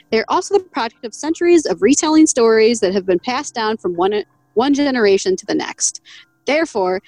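A woman's voice: a little high, a little mellow, dry recording, low-quality recording. Chatty woman reading a legend aloud. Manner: chatty, laughs often, stumbles on Spanish names. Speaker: podcast co-host. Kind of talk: casual chat reading from an article.

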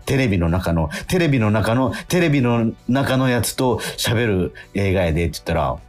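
A middle-aged man hosting a radio show in casual, animated, chatty talk with a co-host. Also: lowish voice, normal speed. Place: radio studio. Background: music.

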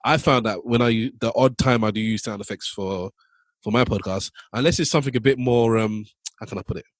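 A man's voice: fairly low pitch, brisk, no room sound. A man hosting a podcast, interviewing in a casual, conversational style. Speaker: podcast host.

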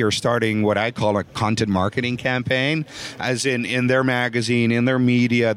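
A man's voice: mid-range voice, fairly bright tone. A man from the Netherlands with a Dutch accent, a guest on a podcast interview, talking earnestly with many fillers and restarts. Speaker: guest, voice technology consultant.

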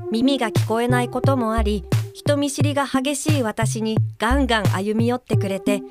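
An actress playing a gentle guide helper for the blind who reads a letter aloud carefully in a radio drama. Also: female voice, a little high, dry recording, studio quality, close to an everyday voice.

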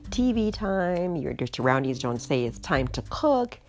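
A middle-aged woman hosting a podcast, hoarse tonight, chatting in an unhurried way with frequent ums. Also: sits low, sing-song. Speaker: podcast host. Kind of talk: casual solo podcast talk. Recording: music playing underneath.

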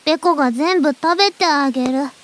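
Squeaky tiny growling mochi creature. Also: female voice, fairly quick, a little hiss or hum. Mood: playful. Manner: shouts nonsense cries and growls. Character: tiny silly warabi-mochi creature, growling, loyal, childlike. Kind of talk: Japanese audio drama acting.

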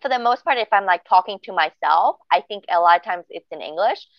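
Woman, mid-pitched, agreeable, reflective podcast talk, dry muffled recording. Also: lively intonation, brisk, no echo. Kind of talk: casual chat. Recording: dry, dull lo-fi audio.

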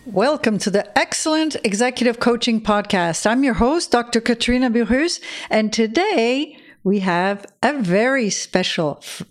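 A woman hosting a coaching podcast, friendly and enthusiastic as she interviews a guest. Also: on the high side. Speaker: podcast host.

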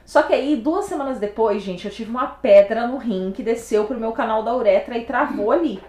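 A woman from Brazil, raised in Paraná, telling her story as a guest in a casual interview, animated and slangy. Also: a little high, moderate pace, roomy sound. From Brazil, São Paulo state, raised in Paraná.

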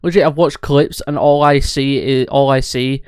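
A man's voice: mid-range voice, steady tone, normal speed, clean tone, no room sound, studio quality. A man co-hosting a casual podcast, blunt and sweary, laughing often. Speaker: podcast co-host.

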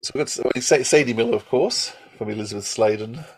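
A man with a husky, slightly rough voice of medium pitch co-hosts a casual podcast. He talks hesitantly, circling back over his phrases as he thinks aloud. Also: fast talker, poor audio. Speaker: podcast co-host.

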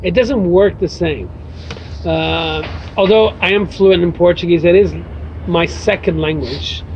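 A man's voice: high voice, measured pace. A man answering interview questions reflectively, filling his thoughts with "you know" and "uh". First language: English. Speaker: guest writer. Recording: muffled with faint background noise.

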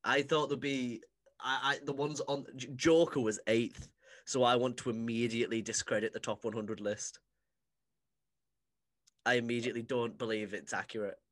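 A man's voice: medium pitch, fairly even intonation, fairly bright tone. Joking podcast host, man. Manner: joking, quick to tease, rambling asides. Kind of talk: casual podcast chat.

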